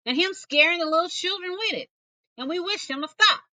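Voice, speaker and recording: Storybook small animal, frightened and pleading, high bright voice, folksy, performed by a woman. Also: brisk, no room sound, full character voice. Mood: worried, pleading. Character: troubled, frightened little forest animals, pleading.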